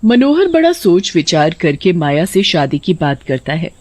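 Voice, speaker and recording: A woman's voice: on the low side, very animated, moderate pace, darkish tone, no echo. A woman narrating a scripted moral bedtime story as a storyteller.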